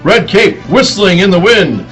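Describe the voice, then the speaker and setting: A man's voice: high voice, big pitch swings, measured pace, a bit bright, soft background music, exaggerated voice. A man playing a dramatic announcer narrating in the style of a 1940s radio serial. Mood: suspenseful.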